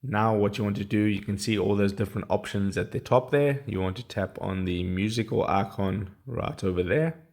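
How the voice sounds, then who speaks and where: A man's voice: on the low side, moderate pace, a little mellow. A man presenting a casual step-by-step how-to tutorial in a plain, instructional way.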